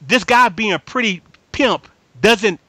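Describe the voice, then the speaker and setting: A man with a fairly high, forceful voice talks casually as a podcast host. He is emphatic and slangy, circles back to repeat his points and keeps checking he is understood. The sound is dry, with little room to it. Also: animated, unhurried, slightly rough, no room sound. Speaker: podcast host reviewing anime. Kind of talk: casual fan chat.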